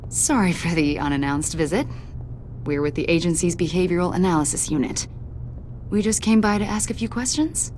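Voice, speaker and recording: A composed female government agent in a video game, played by an actress. Her slightly low voice is cool and insinuating as she probes, with faint noise in the background. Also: a little fast, darkish tone, natural voice. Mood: polite, probing. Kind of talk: naturalistic video game acting.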